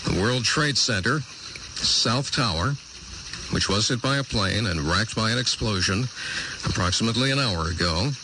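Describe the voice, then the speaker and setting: Grave, lowish male news anchor. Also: lively intonation, normal speed. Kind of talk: live breaking news report. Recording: noisy archival radio broadcast.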